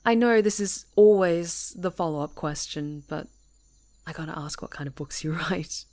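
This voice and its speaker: A woman's voice: fairly low pitch, moderate pace, dark tone, close to an everyday voice. A woman playing a chatty, easygoing camper in an ASMR roleplay, talking conversationally and teasingly to an unheard listener. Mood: friendly, teasing. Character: friendly, chatty woman camper on a woodland retreat.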